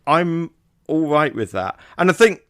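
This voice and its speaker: A man co-hosting a podcast, casually giving opinions in a halting, word-repeating way. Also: a little high, sing-song, a bit bright, smooth, no echo, studio quality. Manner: hesitant, repeats words, opinionated. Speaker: podcast co-host. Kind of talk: casual film discussion chat.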